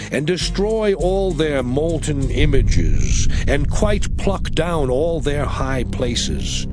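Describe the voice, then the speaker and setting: Casting: commanding deity for dramatized scripture, solemn and emphatic, highly varied intonation over a music bed. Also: male voice. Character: God commanding Moses, solemn, stern, authoritative male deity.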